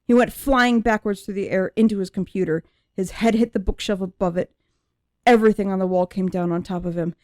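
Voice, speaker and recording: Woman as a mellow-voiced, anguished young android. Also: medium pitch, fairly even intonation, no echo, clean studio sound, close to an everyday voice. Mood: distressed. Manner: emotive, deliberate. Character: young female android with human memories, anguished, searching for herself. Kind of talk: dramatic first-person audiobook narration.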